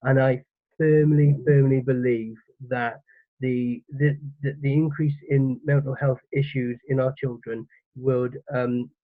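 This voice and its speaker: A man's voice: mid-range voice, flat delivery, a little slow, no echo. A man hosting his own channel, asking questions eagerly and stumbling over his words with many fillers. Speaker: YouTube channel host, interviewer. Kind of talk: casual interview hosting. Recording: thin phone-like sound, dry.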